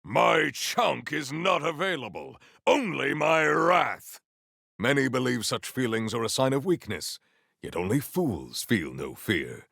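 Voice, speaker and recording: An actor playing a proud, formal sci-fi warrior in a video game, speaking in a grandiose, deliberate and earnest way. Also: male voice, medium pitch, very animated, creaky, pushed and bright, no echo, clean studio sound, full character voice. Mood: grand, defiant. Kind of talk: video game character voice lines.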